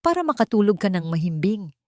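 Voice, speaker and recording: A woman's voice: medium pitch, big pitch swings, brisk, darkish tone, no room sound, studio quality, voice pushed up, natural voice. A woman putting on a honey-voiced scheming wife. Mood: falsely sweet. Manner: falsely tender, honeyed. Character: scheming sweet-talking woman, a cold stepmother.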